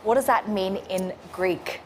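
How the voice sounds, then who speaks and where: A woman's voice: medium pitch, measured pace, slight background noise. A woman hosting a morning show, asking friendly questions that lead the guest from one piece to the next. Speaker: show host. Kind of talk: interviewing on a live show.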